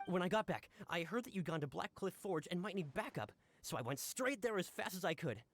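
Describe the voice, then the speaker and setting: A man's voice: high-pitched, lively intonation, fairly quick, fairly bright tone, full character voice. A man voicing an eager, flustered male guard in a video game, earnest and apologetic in how he talks. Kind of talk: game dialogue. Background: game music.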